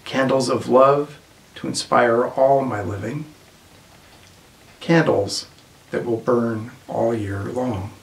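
A man's voice: mid-range voice, slow, creaky, long pauses. A middle-aged man, a congregation member, gives a devotional reading of poetry in a living room. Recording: echoey room.

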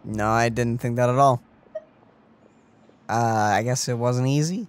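A man's voice: mid-range voice, unhurried, clear, resonant tone. A man co-hosting a video game playthrough with casual, offhand chat. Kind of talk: casual let's play chat. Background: game music.

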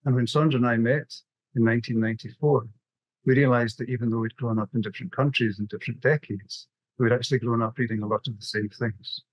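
A man's voice: mid-range voice, steady tone, fast talker, no room sound, muffled recording. A middle-aged man with a Scottish accent, presenting a prepared webinar talk over a video call. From west coast of Scotland. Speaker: graphic novelist, webinar presenter.

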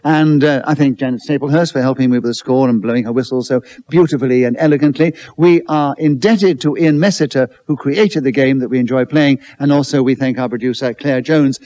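A man's voice: medium pitch, darkish tone. A man hosting a comedy panel game in a theatre, courteous and effusive in a formal way. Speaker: host. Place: theatre with a live audience.

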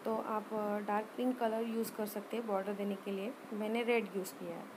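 A woman giving an informal step-by-step craft tutorial voice-over, hesitant and often pausing mid-sentence. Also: medium pitch, flat delivery, background noise. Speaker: rangoli art tutorial presenter.

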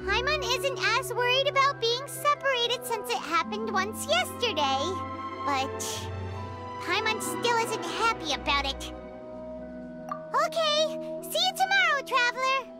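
A woman voicing a small, excitable, childlike fairy companion in a video game, who refers to herself in the third person. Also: very high-pitched, unhurried, exaggerated voice. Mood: reluctant. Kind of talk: animated video game dialogue. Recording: music behind the voice.

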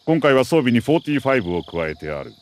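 An actor playing a middle-aged army major who briefs an agent in a crisp, authoritative, deliberate way in a video game. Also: male voice, medium pitch, sing-song, slight background noise. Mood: grave, commanding. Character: male military major, mission commander, precise and earnest. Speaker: mission commander briefing an agent.

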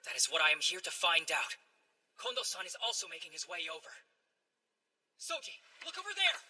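A woman voicing a polite, earnest teenage club member who also narrates in a formal way, in an animated series. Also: fairly low pitch, a little fast, slightly rough, heavily acted. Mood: dramatic.

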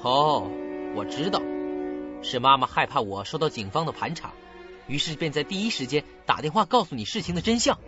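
Bitter younger brother, animated delivery. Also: male voice, on the high side, fairly quick. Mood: bitter, accusing. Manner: accusing, sarcastic. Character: bitter younger sibling confronting a scheming older brother. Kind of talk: radio drama acting. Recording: muffled dull recording with loud music.

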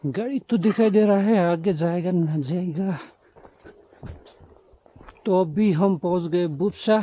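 Slow, high-voiced man vlogging outdoors. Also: mellow. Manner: mixes English words and phrases into speech. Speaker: travel vlogger. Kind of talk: casual vlog narration to camera while trekking. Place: outdoors on mountain roads. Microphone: camera held at arm's length. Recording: slightly dull, muffled camera audio.

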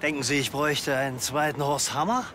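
An actor playing an earnest, easily offended crime writer with a formal, prim way of talking in a TV crime comedy. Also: male voice, a little high, steady tone, brisk, a bit bright, slight background noise, lightly acted. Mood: indignant.

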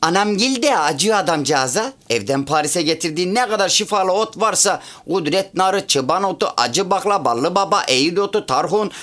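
A man's voice: sits high, expressive, moderate pace, few pauses, a bit bright. An actor in a comic radio play voicing a chatty, sentimental half-French man with a rural Central Anatolian Turkish accent and a pedantic streak. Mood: chatty storytelling. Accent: rural Central Anatolian Turkish, Çorum area. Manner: folksy, rambling, pedantic about grammar. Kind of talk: comic radio theatre dialogue.

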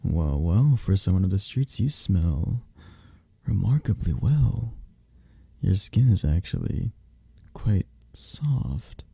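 A man playing a possessive, flirtatious, intimidating mafia boss in an ASMR roleplay, husky and murmuring, with drawn-out teasing pauses. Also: low voice, sing-song, mellow, no room sound, studio quality, full character voice. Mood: intrigued, flirtatious. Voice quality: husky, gravelly, at times breathy.

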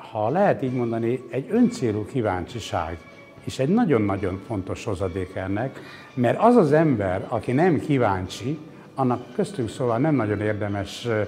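A man's voice: mid-range voice, animated, a little mellow. A man, explaining things reflectively in an interview, philosophizing with hesitations and emphatic points. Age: 60s. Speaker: exhibition curator interviewed. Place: indoor exhibition gallery. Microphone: lapel mic. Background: background music.

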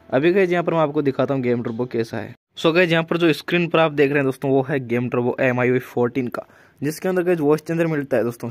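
A man's voice: medium pitch, darkish tone. A man with an Indian accent hosting a casual tech news voice-over, often addressing viewers as friends. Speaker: tech channel host.

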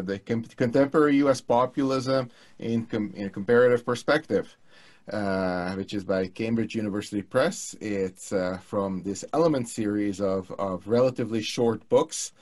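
A man, co-hosting a casual academic chat on a video call, halting with many restarts. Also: mid-range voice. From Hungary. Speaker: political scientist, co-host. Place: home studio room. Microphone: headset mic on video call.

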